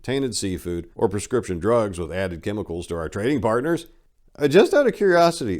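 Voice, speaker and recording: Low-voiced man, sarcastic dramatic reading. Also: darkish tone. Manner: sarcastic, opinionated, rhetorical. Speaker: narrator reading a book aloud. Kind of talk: dramatic reading of a satirical monologue.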